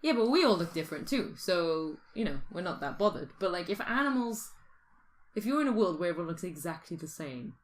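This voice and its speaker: A woman's voice: fairly low pitch, very animated, a little fast. A woman chatting casually and playfully as a podcast co-host, thinking aloud in an informal way. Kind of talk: casual speculative chat.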